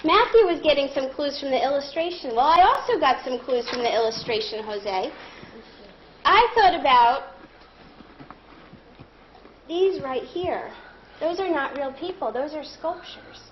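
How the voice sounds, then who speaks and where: A woman's voice: high voice, expressive, a little slow, pauses often. A woman leading an interactive read-aloud lesson as a teacher, encouraging and explaining her thinking aloud. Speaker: elementary school teacher. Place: classroom with young pupils. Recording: echoey classroom, dull sound, faint noise.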